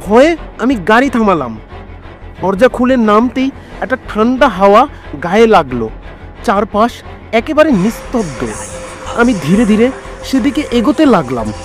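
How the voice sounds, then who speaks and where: A man tells a horror tale in the first person as a weary, frightened ambulance driver. His delivery is dramatic and suspenseful, with a very animated pitch. Music plays clearly behind him. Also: normal speed, long pauses. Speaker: narrator.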